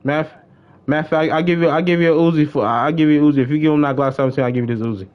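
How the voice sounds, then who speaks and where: A man's voice: on the high side, very fast, narrow, tinny audio. A man livestreaming a game, chatting casually in slang and often repeating his phrases. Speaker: livestreamer and gamer. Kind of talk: casual gaming livestream banter.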